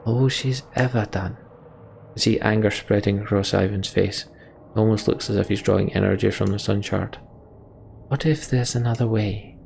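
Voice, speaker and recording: A man's voice: fairly low pitch, level tone, normal speed, creaky, a little mellow, a little hiss or hum. A man reading story text aloud from a game and adding his own commentary as a let's player.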